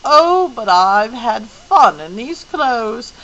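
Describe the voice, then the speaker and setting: A wise, solemn old lady who speaks with weight, as a woman reading aloud puts her on. She talks slowly in a raised, careful voice. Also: on the high side, very animated, a bit bright, lo-fi sound, pitched above the performer's own voice, full character voice. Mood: playful. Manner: serious, solemn. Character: solemn wise old female being, quoting sayings.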